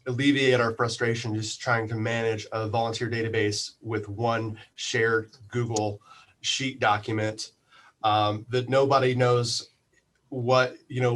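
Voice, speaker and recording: A man speaking as an interview guest, explaining things casually in a halting, thoughtful way. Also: mid-range voice, fairly bright tone. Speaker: interview guest, community radio manager.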